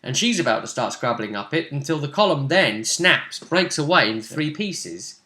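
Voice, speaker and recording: A man runs a tabletop role-play game, telling events back to his player. His voice is bright and forward, and he repeats phrases for emphasis. The recording has a clear room echo. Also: a little high, sing-song, moderate pace. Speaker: game master. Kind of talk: narrating and recapping a tabletop role-play session. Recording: roomy, echoey indoor recording.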